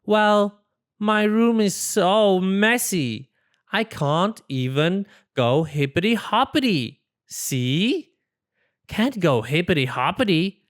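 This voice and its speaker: A storybook reader voicing a worried, exasperated little rabbit, protesting with expressive emphasis. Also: medium pitch, slow pace.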